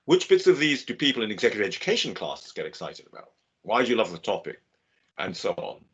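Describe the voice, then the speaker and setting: A man giving a measured, steady webinar lecture over a video call. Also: lowish voice, breathy edge. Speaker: guest lecturer. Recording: dull lo-fi video call audio.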